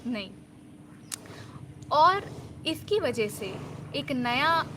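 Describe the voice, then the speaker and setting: High-voiced woman life coach. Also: noisy background. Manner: mixes English into Hindi, earnest and reassuring. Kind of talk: self-help talk to camera.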